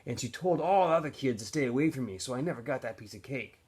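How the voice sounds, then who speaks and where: Man reading as a wistful, guarded boy, slightly husky. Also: mid-range voice, animated, quick pace, darkish tone. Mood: sad, recollecting. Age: child. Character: homeless boy recalling abandonment, wistful and guarded. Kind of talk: read-aloud novel dialogue.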